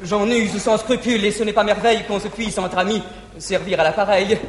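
An actor playing a naive, lovestruck young gallant, confiding and effusive, in classical French stage verse comedy. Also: male voice, high-pitched, steady tone, fairly quick, lightly acted. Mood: eager, confiding. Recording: 1950s theatre recording, echoey hall, faint hiss.

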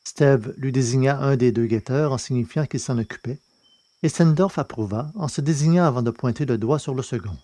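Man reading a novel aloud, steady. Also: mid-range voice, a little fast, dry recording. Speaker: audiobook narrator. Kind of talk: scripted narration of a science-fiction novel.

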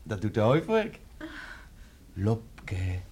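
An actor playing an amused young sweetheart in a radio drama, chuckling and teasing. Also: male voice, lowish voice, huge pitch swings, extremely slow, darkish tone, a little hiss or hum, heavily acted.

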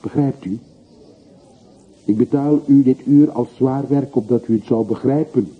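A man's voice: mid-range voice, a little slow, breathy edge. A man acting in a radio play as a wistful, well-off middle-aged man who speaks in short, brooding, confessional sentences. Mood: brooding, regretful. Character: wistful middle-aged man of forty-three, wealthy returned emigrant. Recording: muffled phone-like old radio recording, hissy.

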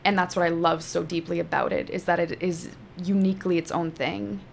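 A woman whose first language is Afrikaans, a spoken word poet and teacher, performing a poem with slow dramatic delivery and chatting about her craft. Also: lowish voice, little pitch movement, gravelly, slight background noise. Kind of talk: poetry performance and conversation.

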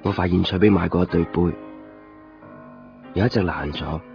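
A man's voice: sits low, normal speed, gravelly. A man playing a weary, lonely shopkeeper who narrates a radio drama in a colloquial, brooding way, with a Hong Kong Cantonese accent. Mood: glum, reflective. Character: weary, lonely male copy-shop keeper. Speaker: lead actor and first-person narrator. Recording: dull lo-fi radio recording, music behind.

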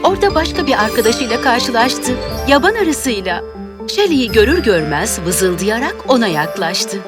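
A woman's voice: a little high, big pitch swings, normal speed. A woman reading a scripted children's story as its narrator. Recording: music behind the voice. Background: music.

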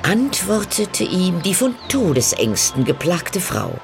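A man narrating a fairy tale in a dramatic, measured, scripted storytelling style. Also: high voice, very animated, creaky. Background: background music.

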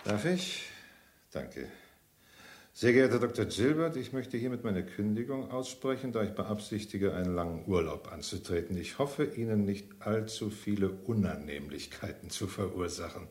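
An actor playing a scheming, impatient man in a German radio crime play, measured and hesitant, then curtly insistent. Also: on the low side, expressive, darkish tone, reverberant, close to an everyday voice. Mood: smooth, controlled. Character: scheming, impatient man talking a suspicious woman round.

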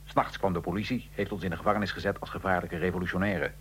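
A man playing a condemned Italian revolutionary in a radio drama, recounting his life in a measured, dry, ironic way. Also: sits low, steady tone, a bit bright, slight background noise. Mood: matter-of-fact. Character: Italian male revolutionary prisoner, condemned, dryly matter-of-fact. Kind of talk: radio drama acting, interview-style storytelling.

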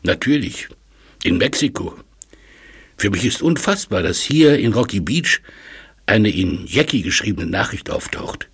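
A man playing a learned, absorbed professor in a German audio drama, musing and explaining with thoughtful pauses. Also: fairly low pitch, lively intonation, fairly bright tone, slightly rough, exaggerated voice. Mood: lecturing. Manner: musing, lecturing, hesitant pauses. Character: elderly learned male language professor, absorbed and astonished.

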